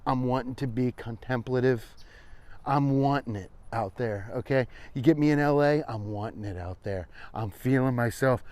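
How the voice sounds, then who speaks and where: A man's voice: mid-range voice, darkish tone, no echo. American man rambling playfully, very dry sound. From Cincinnati, US. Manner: playful, riffing, repetitive phrasing, swears freely. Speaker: vlogger and podcast host. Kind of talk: casual rambling comedic chat while walking.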